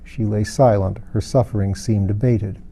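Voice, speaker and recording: A man's voice: on the low side, fairly even intonation, moderate pace, dark tone. A man reading a literary fantasy novel aloud as scripted audiobook narration. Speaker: audiobook reader.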